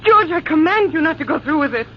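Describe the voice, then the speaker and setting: Devoted young woman, high, melodramatic 1940s radio pleading, noisy old broadcast sound. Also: lively intonation, fast talker, muffled recording. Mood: pleading, desperate. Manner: melodramatic, imploring. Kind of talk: 1940s radio drama acting.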